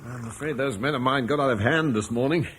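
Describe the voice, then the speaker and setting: An actor playing an earnest, eloquent Romano-British landowner who speaks persuasively in defense of Rome's legacy, in a classic radio drama. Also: male voice, mid-range voice, a little fast, fairly bright tone, a little hiss or hum, natural voice.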